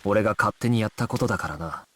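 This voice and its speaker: A man's voice: lowish voice, quick pace, a bit bright, a little hiss or hum, lightly acted. Man playing a resolute, dramatic young gangster leader. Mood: grave, resolute. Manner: solemn, commanding, declarative. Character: resolute young male gangster squad leader, stoic and self-sacrificing. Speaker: video game voice actor. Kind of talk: dramatic Japanese action game voice acting. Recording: music behind the voice.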